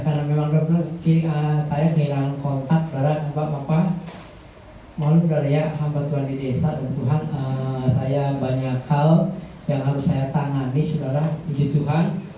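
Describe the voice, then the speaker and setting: A man giving a guest sermon to a church congregation in a rambling way, often calling out to the listeners and growing louder as he preaches. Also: slight background noise. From Indonesia. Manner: rambling, addresses the congregation as "saudara"; grows louder and higher when preaching. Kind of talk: Pentecostal sermon. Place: church hall. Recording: echoey church hall, tinny and far off.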